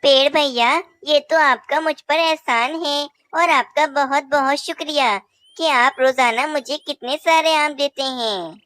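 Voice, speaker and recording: Lady voicing a sweet little bird girl selling mangoes, squeaky high voice, cartoon style. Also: unhurried, a bit bright, no echo. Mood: grateful. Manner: sweet, polite, eager. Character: cheerful young female bird mango seller. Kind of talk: cartoon voice acting.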